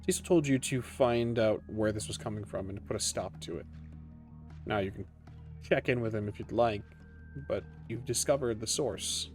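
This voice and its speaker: A man narrates a tabletop roleplaying game as its game master. His voice sits fairly low, his phrasing is deliberate and dramatic, and faint music plays behind him. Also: a little mellow.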